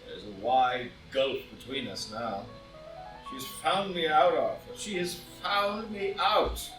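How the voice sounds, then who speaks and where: A man's voice: medium pitch, unhurried, lightly acted. A man playing a proud, tormented statesman full of shame, melodramatic and declamatory in a staged play reading. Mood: anguished, despairing. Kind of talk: stage play read aloud as audio drama. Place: indoor room, live in-person reading. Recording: roomy live reading, distant mic, music.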